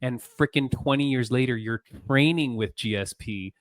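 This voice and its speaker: A male podcast host, asking questions in an excited, casual way, full of "dude" and slang. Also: mid-range voice, moderate pace, no room sound. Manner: excited, says "dude" and "frickin'".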